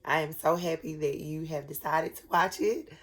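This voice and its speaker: A woman with a cold and a raspy voice, speaking earnestly to camera in a personal vlog, with many pauses and restarts. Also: low-pitched, steady tone. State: sick with a head cold. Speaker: vlogger. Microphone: camera at desk, glancing at notes.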